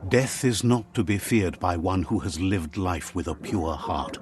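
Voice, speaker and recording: A man voicing the narrator of a video game, a wise storyteller speaking in slow, measured sayings. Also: low-pitched, lively intonation. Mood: calm, sage. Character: calm wise male narrator of a game world. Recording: game audio with clear music behind.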